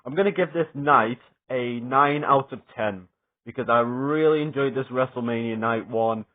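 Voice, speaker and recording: Excited young man, tinny phone-like sound. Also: mid-range voice, normal speed. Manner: rambling and excited, swears, many fillers and restarts. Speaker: wrestling reviewer. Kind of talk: casual spoken review.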